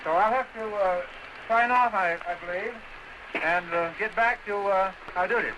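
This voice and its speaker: 1930s guy on shortwave, high voice, clipped and matter of fact, noisy old recording. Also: normal speed. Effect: shortwave radio. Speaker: balloon pilot in a radio conversation. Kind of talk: archival 1930s radio conversation.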